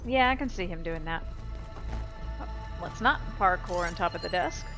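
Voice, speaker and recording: A woman's voice: fairly low pitch, animated, moderate pace, poor audio. A woman giving casual gameplay commentary as a let's play host, rambling and blunt with some swearing. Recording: loud game music behind.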